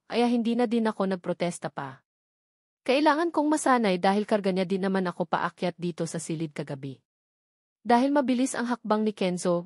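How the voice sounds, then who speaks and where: A woman's voice: medium pitch, darkish tone, no room sound. Filipino woman narrating a novel, dry sound. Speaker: audiobook narrator. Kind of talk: scripted first-person romance novel narration.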